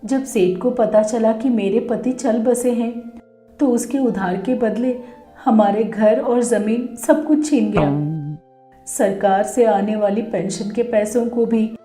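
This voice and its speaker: A woman's voice: on the high side, slow, dark tone, reverberant, faint music behind. A woman voicing a meek, sorrowful young widow in a children's story, plaintive and hesitant.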